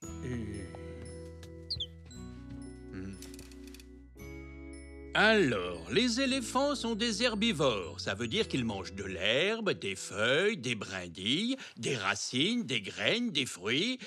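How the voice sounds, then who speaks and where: Swooping, pondering grandpa zebra. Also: male voice, medium pitch, huge pitch swings, slow pace, pauses often, sharp tone, exaggerated voice. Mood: thoughtful. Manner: hesitant, pondering. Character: kindly, thoughtful grandfather zebra, elderly male. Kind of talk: French children's cartoon dialogue. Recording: music behind the voice.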